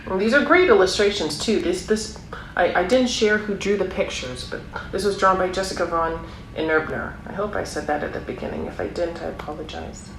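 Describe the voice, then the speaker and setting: A woman's voice: fairly low pitch, fairly quick. Woman reading to children in an echoey room. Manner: encouraging, explains and asks questions to young listeners. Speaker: storyteller reading a children's picture book aloud. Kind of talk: read-aloud for young children with chatty asides. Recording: roomy echo, clear background noise.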